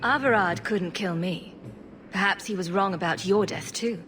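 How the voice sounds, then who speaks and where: A woman playing a calm, diplomatic young mage adventurer in a video game, speaking in an earnest, measured way. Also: mid-range voice, a little hiss or hum, close to an everyday voice. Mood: earnest, concerned. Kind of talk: video game cutscene acting.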